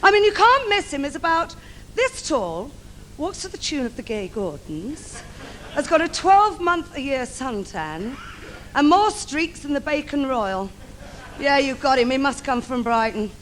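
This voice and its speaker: A woman's voice: high voice, sing-song, unhurried, a bit bright, exaggerated voice. An actress playing a cheerful, cheeky young principal boy in an adult stage pantomime, with theatrical, saucy delivery to the audience. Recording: noisy live theatre stage recording.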